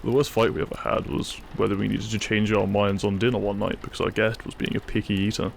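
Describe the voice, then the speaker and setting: Man acting devoted husband, low gravelly voice. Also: flat delivery, fast, slight background noise. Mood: wry. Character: devoted, wry British husband sheltering a guest. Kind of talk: intimate audio roleplay storytelling.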